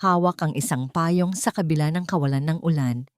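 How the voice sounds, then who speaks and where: Woman, audiobook narrator, lowish pitch, solemn deliberate storytelling, scripted drama, very dry recording. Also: no echo.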